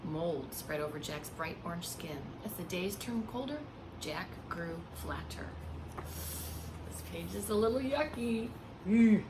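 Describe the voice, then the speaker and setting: A woman reading a picture book aloud like a preschool teacher, with expressive, hushed emphasis and simple asides to small children. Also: fairly low pitch, slow, noisy background. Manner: simple explanations and questions addressed to small children. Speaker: pre-K teacher.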